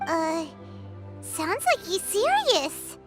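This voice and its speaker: A woman voicing a small, childlike fairy companion in an anime game, squeaky, eager and wide-eyed. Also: very animated, drawn-out words, a bit bright, heavily acted. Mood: startled, unsure. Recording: background music.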